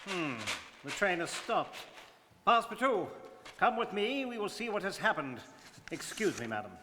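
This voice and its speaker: A man playing an imperturbable gentleman traveller in a radio drama, speaking in a clipped, formal, precise manner. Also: high-pitched, big pitch swings, moderate pace, background noise. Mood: cool, formal. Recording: reverberant hall-like studio.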